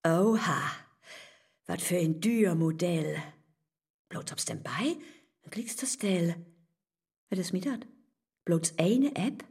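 Woman playing husky, brash, shrewd confidante. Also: on the low side, darkish tone, full character voice. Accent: northern German. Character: brash, shrewd, outspoken female confidante, fearless and mocking. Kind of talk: modern verse radio play.

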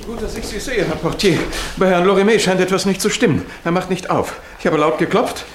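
A man's voice: sits high, a little fast, echoey room, noisy background, lightly acted. A man playing a nervy, gossipy little literary agent in a 1960s radio drama. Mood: agitated, talkative.

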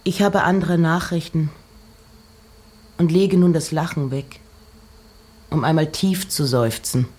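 A woman giving an intimate, measured dramatic reading of poems and letters, at times dropping close to a whisper. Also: low voice, steady tone, a little mellow, slight background noise. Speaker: reciter.

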